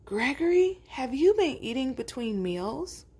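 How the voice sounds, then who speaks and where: A woman's voice: high voice, sing-song, measured pace, warm tone, husky, voice pushed up, exaggerated voice. A woman voicing a concerned father goat, a proud but firm parent with a questioning manner, in a storybook.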